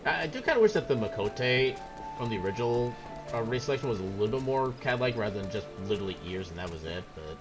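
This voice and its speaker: A man's voice: mid-range voice, big pitch swings, quick pace. A man giving casual live commentary as he streams a video game, chatty and playful. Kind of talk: casual live gameplay commentary with quest text read aloud. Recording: game music behind the voice. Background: video game music.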